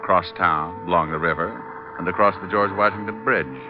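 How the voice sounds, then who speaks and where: An actor playing a cocky, glib private detective who teases and narrates wryly, in 1940s radio drama style. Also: male voice, low voice, brisk, close to an everyday voice. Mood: dry narration. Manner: glib, wry, teasing. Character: wisecracking cocky male private detective, breezy and flirtatious. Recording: muffled old radio drama, music behind.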